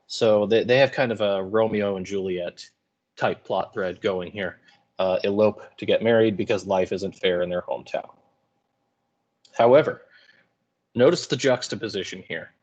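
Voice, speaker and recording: A man with a fairly low voice gives informal lecture commentary. He explains like an English teacher, putting things into colloquial everyday words. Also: moderate pace, darkish tone, dull audio.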